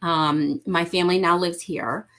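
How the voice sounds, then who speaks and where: A woman from Mexico with a somewhat low-pitched voice speaks as an interview guest. She talks about parenting earnestly and hammers home her points by repeating them, in a relaxed conversational way. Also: unhurried.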